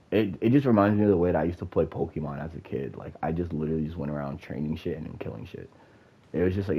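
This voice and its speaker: A man with a very low, husky voice and a stuffed-up, nasal sound rants about a game. He swears freely and rambles through many fillers and restarts. The recording is slightly dull and lo-fi. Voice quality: nasal, congested. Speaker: gamer giving opinions. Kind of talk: casual rant.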